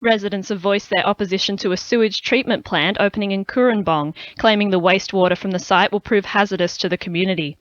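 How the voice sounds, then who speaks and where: A woman's voice: mid-range voice, steady tone, fast talker, few pauses, no echo. Woman, Australian accent, radio newsreader, scripted bulletin, dry studio, slightly dull audio. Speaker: radio news reader. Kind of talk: scripted news bulletin narration.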